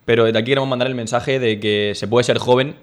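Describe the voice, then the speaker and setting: A man's voice: medium pitch, steady tone, fast talker. A young man of eighteen speaking thoughtfully and a little hesitantly as a radio guest. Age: young adult. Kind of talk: radio interview.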